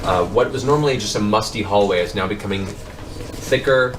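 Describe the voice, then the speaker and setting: A man's voice: lowish voice, moderate pace, noisy background. A man narrating a tabletop fantasy game as game master, in a dramatic, improvised storytelling style. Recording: music behind the voice. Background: background music.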